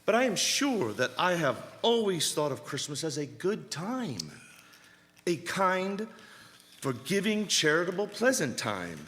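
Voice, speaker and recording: An actor playing a cheerful, earnest, warm-hearted nephew in live radio theater, pleading and expressive. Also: male voice, a little high, measured pace, a little hiss or hum. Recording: roomy hall with long reverb.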